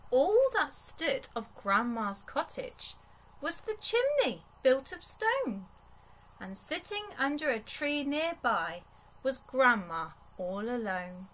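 Young female storytime reader, higher register, slow expressive delivery for small children, recorded in a bedroom. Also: poor audio. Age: young adult. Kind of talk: expressive read-aloud of a rhyming picture book.

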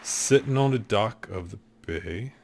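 A man in his thirties with a deep voice, casually commenting while he plays a game show video game at his desk at home. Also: expressive, measured pace, darkish tone, some chatter behind, slight background noise. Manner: laid-back, joking, thinking aloud. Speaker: streamer.

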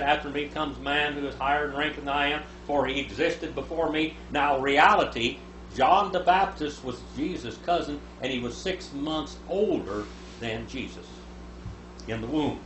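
Man in his seventies, Bible teacher, echoey small room, steady hum in the background. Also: fairly high pitch, moderate pace. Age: 70s. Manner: reads scripture aloud, rising loud for quoted speakers.

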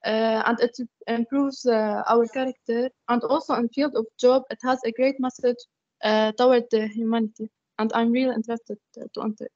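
A teenage woman, a psychology student, speaking slowly and searching for words. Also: on the high side, level tone, darkish tone, no room sound. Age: teens. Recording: dull video call.

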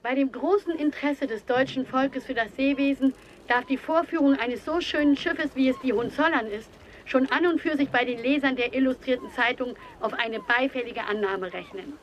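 A woman's voice: high voice, moderate pace, slight background noise, lightly acted. A woman voicing an old magazine article in a formal, presentational scripted reading.

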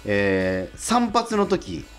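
A man with a Kansai accent chatting casually as a radio co-host, telling self-deprecating stories in an animated way. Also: on the low side, extremely slow, soft background music. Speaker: radio show co-host and stage actor. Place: radio studio.

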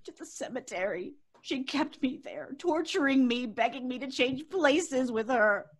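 An actress playing a terrified, pleading wife in an old-time radio drama, breathless and dramatic. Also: female voice, high voice, lively intonation, a little slow. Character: terrified, pleading wife haunted by a dead witch.

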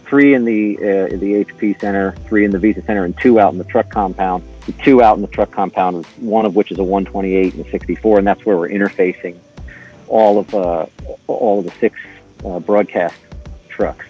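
A middle-aged man with a low, husky voice talks through technical details in a halting, step-by-step way. His tone is dark and mellow, and the sound is a little dull and lo-fi. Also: steady tone, soft background music. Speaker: podcast guest, intercom engineer. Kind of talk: informal technical walkthrough.

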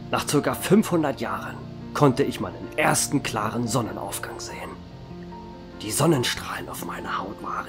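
A man playing a centuries-old vampire who runs a bar, telling his story in a calm, measured way. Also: mid-range voice, lightly acted. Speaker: narrator and bar owner. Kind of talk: fan audio drama narration. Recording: music bed under the voice.

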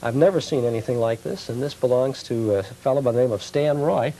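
A man's voice: medium pitch, a little fast, warm tone, low-quality recording. A middle-aged man, presenting as a television show host. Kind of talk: hosting interviews and reading shop promos.

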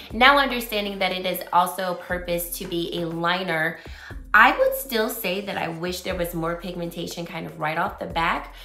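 A woman in her forties, slightly raspy from losing her voice, chatting upbeat and in detail as she reviews makeup in a small home room. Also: lowish voice, pushed and bright. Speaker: beauty vlogger. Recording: roomy echo.

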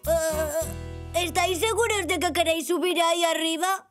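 A woman's voice: very high-pitched, steady tone, moderate pace. A woman with a Castilian Spanish accent playing a curious, earnest five-year-old boy zebra in a children's cartoon. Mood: earnest, surprised. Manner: inquisitive, earnest questioning. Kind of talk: animated children's cartoon dub. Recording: music behind the voice.

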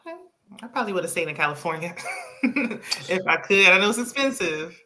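Woman hosting a livestream on a video call, bright sharp voice, chatty and teasing, faint noise in the background. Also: fairly low pitch, lively intonation, a little fast. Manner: chatty, playful, laughs often, casual slang. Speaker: host of a live-stream show. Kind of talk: casual live-stream interview. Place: indoor living room.

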